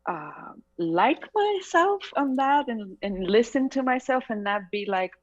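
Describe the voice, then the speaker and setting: Unhurried Chilean-accented woman, laughing softly. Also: a little high, very animated. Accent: Chilean Spanish. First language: Spanish. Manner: reflective, laughs often, unhurried. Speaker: singer, interview guest. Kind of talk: casual conversation. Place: bright room at home. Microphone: video call. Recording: slightly dull video call audio.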